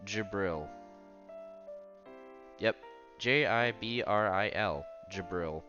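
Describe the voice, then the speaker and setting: Male let's-player, low forceful voice, casual read-aloud with small stumbles, music behind. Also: slow pace, highs cut off. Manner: stumbles and repeats words while reading. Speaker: streamer reading a visual novel aloud. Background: game music.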